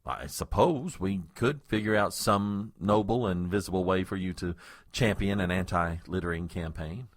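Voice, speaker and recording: An actor playing a nervous, exasperated political aide who speaks with hesitant, strained politeness. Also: male voice, low voice, normal speed, no echo, clean studio sound. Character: deferential, exasperated, nervous male presidential aide. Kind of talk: comic audio drama acting.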